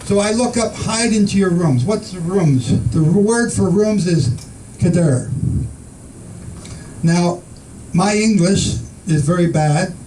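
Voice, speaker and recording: A man's voice: high voice, slow pace, mellow, distant mic, slight background noise, poor audio. A man teaching an informal Bible study to a small group, reading scripture aloud and asking his listeners questions. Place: room with a small group in the Philippines.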